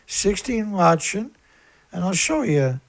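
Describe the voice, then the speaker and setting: A man with a squeaky voice hosting a live stream in a rambling, blunt, aggrieved and emphatic monologue that often repeats itself. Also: big pitch swings, takes it slowly, gravelly. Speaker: live stream host, environmental activist.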